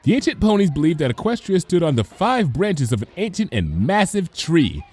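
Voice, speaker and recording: A man's voice: a little high, sing-song, moderate pace, breathy edge, pitched above the performer's own voice. A man voicing a female pony schoolteacher with a patient, explanatory manner. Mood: bright. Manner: bright, explanatory.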